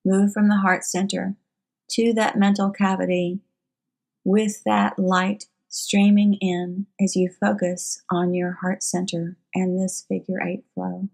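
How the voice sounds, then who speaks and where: A woman guides a meditation at a very unhurried pace. Her mid-pitched voice stays level, with little melodic movement. She phrases things formally and solemnly, pausing often between short groups of words. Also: gravelly, a little mellow, rough recording.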